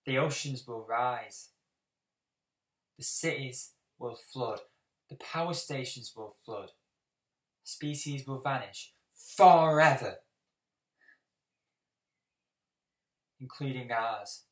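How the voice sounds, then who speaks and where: Guy doing a brooding bitter schoolboy monologue, real slow and dramatic, kinda far from the mic. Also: mid-range voice. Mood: urgent despair. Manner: deliberate, heavy pauses, swelling from hushed to forceful. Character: bitter, brooding, apocalyptic schoolboy confronting a bully. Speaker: actor auditioning. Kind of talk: dramatic stage monologue for an audition. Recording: roomy, distant microphone.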